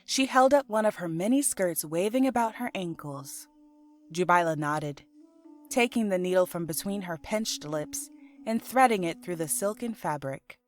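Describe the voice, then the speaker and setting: A woman reading scripted storybook narration in an expressive, unhurried manner. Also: lowish voice, faint music behind. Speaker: author and narrator.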